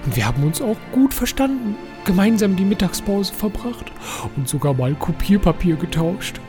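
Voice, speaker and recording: A man's voice: sits high, sing-song, moderate pace, music in the background. A man playing a fussy, lovesick, scheming bureaucrat in a comic audio drama, officious and melodramatically pleading. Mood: lovesick confessing.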